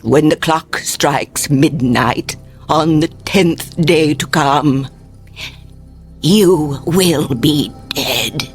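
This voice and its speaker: An actress playing a solemn, cryptic fortune teller in a radio drama, speaking in formal mystical pronouncements. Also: female voice, low-pitched, drawn-out words, soft background music. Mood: grave, ominous.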